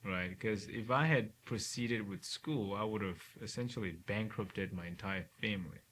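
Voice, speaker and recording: A middle-aged man from Cameroon, a neurosurgeon talking reflectively in an interview, often ending points with a quick "right?". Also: fairly low pitch, a bit bright. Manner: reflective, frequent "right?" tag questions. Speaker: neurosurgeon guest. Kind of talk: relaxed interview conversation. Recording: faint hiss.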